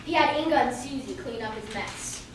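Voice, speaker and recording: Confident, composed detective played by a woman, high voice, amateur radio drama, heavily echoing hall. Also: moderate pace, natural voice. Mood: assured. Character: McCoy, calm confident male police detective. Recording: very echoey hall, distant mic, clear noise.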